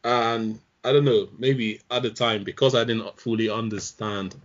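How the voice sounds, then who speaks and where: A man in a casual group discussion, speaking in a measured, reflective way with frequent pauses. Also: on the low side, steady tone. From outside the UK. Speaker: panel guest. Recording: dull lo-fi sound.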